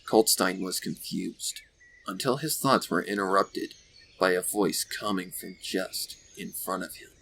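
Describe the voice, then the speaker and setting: A man reading scripted story narration with deliberate, dramatic phrasing. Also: low-pitched, vocal fry, a bit bright, low-quality recording. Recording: faint background noise with birdsong.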